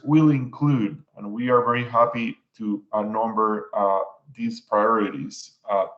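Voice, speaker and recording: A man from Puerto Rico with a Puerto Rican Spanish accent, giving prepared panel remarks as an agency official, halting with fillers and restarts. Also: mid-range voice. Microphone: video call. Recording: dull lo-fi video call audio.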